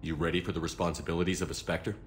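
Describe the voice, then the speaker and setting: Guy playing game commander, deep voice, calm and terse, reassuring, faint hum behind. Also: rapid-fire, natural voice. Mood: supportive. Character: steady, supportive male military commander. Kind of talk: video game cinematic acting.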